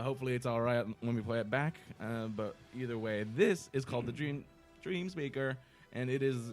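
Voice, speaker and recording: A man hosting a casual, boozy podcast, chatting loosely and swearing freely. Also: medium pitch. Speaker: podcast host.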